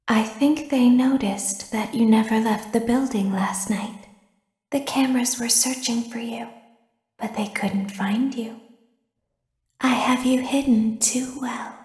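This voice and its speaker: Sinister robot girl, high voice, unhurried measured delivery, wistful, detached, video game character. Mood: hushed, menacing. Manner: slow, measured, detached storytelling with deliberate pauses. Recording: echoey hall with long reverb.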